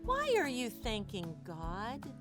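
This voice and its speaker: A woman's voice: huge pitch swings, takes it slowly, music in the background, full character voice. A woman voicing a curious little boy bear cub in a storybook, childlike and questioning. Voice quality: childlike, high, exclamatory. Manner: wide-eyed, questioning, exaggerated surprise.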